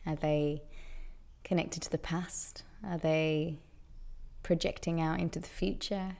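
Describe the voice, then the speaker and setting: Female meditation guide: lowish, gravelly with vocal fry, very even delivery, gentle and deliberate. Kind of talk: guided meditation, soothing instruction.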